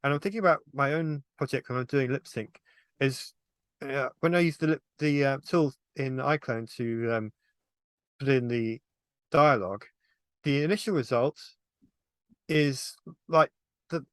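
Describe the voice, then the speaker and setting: A man's voice: mid-range voice, a little slow, a bit bright, no room sound. A man in a casual panel discussion, explaining things in a tentative way. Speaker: film review panelist and animation hobbyist.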